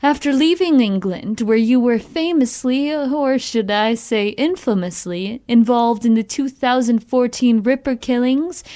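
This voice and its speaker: A woman's voice: on the high side, a little mellow, lightly acted. A woman playing a confident, probing paranormal investigator in an audio drama, with a wry, self-assured manner.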